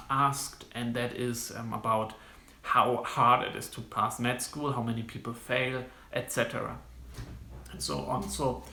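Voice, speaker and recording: Bright-voiced German-accented young man. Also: medium pitch. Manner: halting, frequent restarts and fillers, explanatory. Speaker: medical student vlogger. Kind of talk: casual advice vlog to camera. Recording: roomy, echoey room sound.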